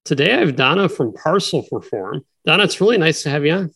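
A man's voice: on the high side, very animated, quick pace, vocal fry, fairly bright tone, slightly rough. A man hosting a business interview podcast, greeting and questioning his guest in an upbeat, enthusiastic way. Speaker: podcast host.

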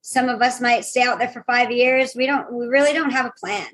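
Giggly woman with a high voice. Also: brisk, fairly bright tone, low-quality recording. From North Dakota, USA. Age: 30s. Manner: laughs often, chatty with fillers. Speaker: guest traveler. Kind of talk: casual podcast chat.

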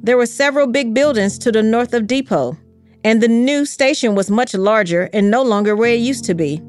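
A woman reading scripted history narration in a measured, carefully articulated storytelling way. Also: a little high, darkish tone, soft background music. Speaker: podcast narrator.

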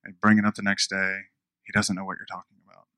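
A man preaching a conversational sermon, repeating phrases for emphasis, with a smooth radio-style voice. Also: sits low, flat delivery, quick pace, bright, forceful tone, no echo. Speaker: pastor.

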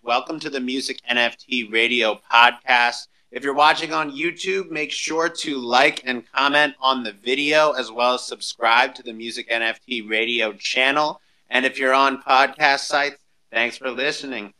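A man's voice: mid-range voice, steady tone, moderate pace, bright, forceful tone, low-quality recording. A man hosting a podcast, introducing and interviewing in an upbeat presenter style. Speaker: podcast host.

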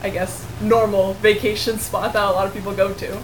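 A woman's voice: medium pitch, little pitch movement, a little fast. A young woman, a manual osteopath and Polish native speaker, chatting casually in an interview, friendly and a bit hesitant, with restarts. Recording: noisy room ambience.

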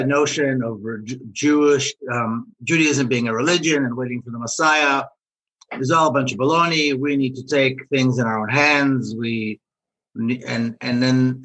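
Middle-aged guy from israel, guest on a podcast, talks it through slowly, lots of restarts, mid-pitched voice. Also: sharp tone, poor audio. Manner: thoughtful, repeats and restarts while reasoning. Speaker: author and guest. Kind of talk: podcast interview, earnest explaining.

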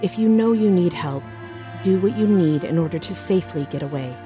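Lower-voiced woman reading over music. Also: expressive, mellow. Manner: earnest, supportive, measured. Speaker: podcast cast member and announcer. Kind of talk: scripted outro message.

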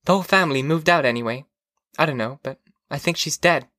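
A man voicing a vague, detached, drowsy wife from a dystopian novel, airy and halting. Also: a little high, bright, forceful tone, no echo, clean studio sound. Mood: drowsy, offhand.

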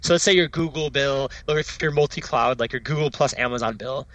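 Man, rapid enthusiastic founder, keeps saying "right?", crisp bright tone. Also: mid-range voice, lo-fi sound. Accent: non-Dutch foreign accent. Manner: enthusiastic, fast, peppered with "right?" and "like". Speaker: startup founder, guest. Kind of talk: casual interview talk.

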